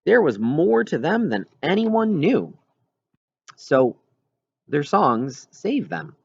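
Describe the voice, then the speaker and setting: A man reading a children's novel aloud as scripted narration, with expressive storytelling delivery. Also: on the high side, slow, vocal fry, husky, dry recording, muffled recording. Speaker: audiobook reader.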